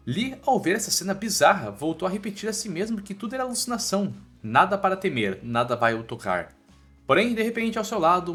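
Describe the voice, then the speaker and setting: A man's voice: on the high side, sharp tone. A man with a Brazilian accent narrating a scripted comic story recap in a playful, colloquial storytelling manner. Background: background music.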